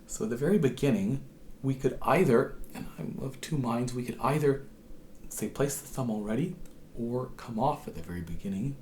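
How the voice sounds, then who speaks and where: A hoarse man with a cold, patiently explaining how to play a tune as a harp teacher, his voice sometimes dropping to a whisper. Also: mid-range voice, moderate pace, a little mellow, slight background noise. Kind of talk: instructional tutorial.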